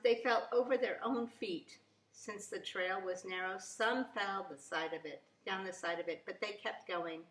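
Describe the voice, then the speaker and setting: A woman's voice: medium pitch, a bit bright. Echoey woman reading a children's story. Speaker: children's story reader, preschool teacher. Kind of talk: expressive children's storybook read-aloud. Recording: echoey room.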